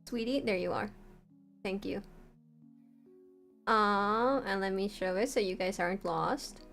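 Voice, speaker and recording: A woman's voice: mid-range voice, a little slow, creaky, a bit bright. Woman giving a casual, meandering livestream tutorial over music. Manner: informal, meandering explanation. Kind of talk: casual livestream walkthrough of a writing tool.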